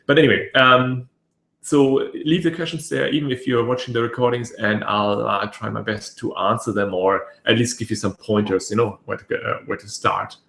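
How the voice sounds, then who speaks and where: A man with a raspy voice hosting a casual webinar, rambling with many fillers and restarts. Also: fairly low pitch, flat delivery, normal speed, fairly bright tone. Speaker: webinar host. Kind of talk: casual live webinar talk. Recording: echoey room.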